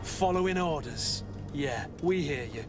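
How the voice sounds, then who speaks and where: An actor playing a blunt, sardonic guard captain in a video game, with a grumbling, exasperated manner. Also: male voice, fairly high pitch, animated, a little slow. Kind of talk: scripted video game dialogue. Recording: music behind the voice.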